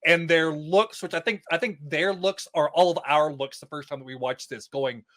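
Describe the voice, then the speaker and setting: Male podcast guest, forward bright tone, pitched a bit high, wry and informal. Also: moderate pace. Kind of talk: casual opinionated chat.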